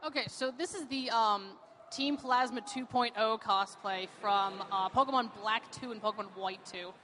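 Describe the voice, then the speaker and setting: A woman's voice: on the high side, normal speed, fairly bright tone, a little hiss or hum. A woman from Pittsburgh, a cosplayer, chatting enthusiastically in an event interview with many fillers. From Pittsburgh, USA. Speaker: cosplayer guest.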